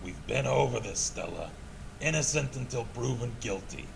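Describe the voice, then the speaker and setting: Brief: male actor as grim, weary video game militiaman, heavy gravel in the voice, measured delivery, loud background noise. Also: mid-range voice, expressive. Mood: firm, patient. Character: weary, guarded male ex-Minuteman survivor and protector. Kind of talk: video game character dialogue.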